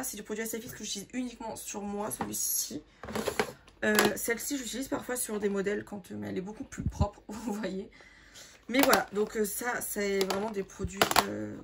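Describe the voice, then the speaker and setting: Young woman, hoarse and gravelly, rambling casual vlog talk, noisy background. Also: a little high. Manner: chatty, rambling, lots of fillers. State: hoarse, sore throat. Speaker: makeup artist vlogger. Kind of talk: casual sorting vlog, talking to viewers.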